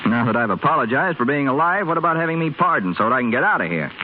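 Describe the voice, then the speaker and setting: A man's voice: medium pitch, expressive, very fast, lightly acted. An actor playing a spoiled, restless young rich man in a hospital, sardonic and wisecracking, in 1930s radio drama style. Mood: flippant. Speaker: hospital patient. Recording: tinny, faintly hissy 1930s radio broadcast.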